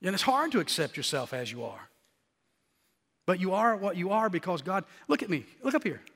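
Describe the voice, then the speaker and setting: High, nasally middle-aged male preacher. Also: moderate pace, creaky, slightly rough, big-room sound. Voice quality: nasally, scratchy voice. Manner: animated, rising to emphatic peaks. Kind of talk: sermon.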